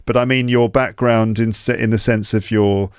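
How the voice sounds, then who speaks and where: A man hosts a podcast in a joking, rambling way, full of "uh"s and asides. His voice sits somewhat low, and the recording is dry with no room sound. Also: fairly even intonation, moderate pace, clear, resonant tone, no echo. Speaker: podcast host. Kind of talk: casual interview chat.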